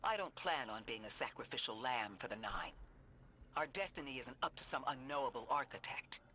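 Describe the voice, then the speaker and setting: A voice actor playing a resolute mentor and leader who speaks firmly. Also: male voice, medium pitch, lively intonation, a little fast, pushed and bright, slight background noise.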